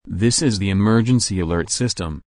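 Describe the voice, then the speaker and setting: A man delivering a formal scripted emergency bulletin as the announcer, measured and impersonal. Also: fairly low pitch, a little mellow, dry recording, clean studio sound.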